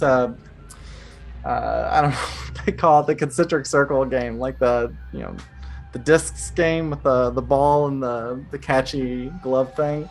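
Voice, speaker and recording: Casual game master over music. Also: female voice, a bass voice. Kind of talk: improvised tabletop game narration. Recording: music playing behind.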